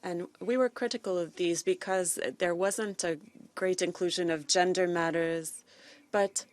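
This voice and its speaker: A woman works as a simultaneous interpreter with a notably low voice. She delivers a formal speech phrase by phrase, halting and restarting at times. The sound is dry and close. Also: fairly even intonation, dry recording.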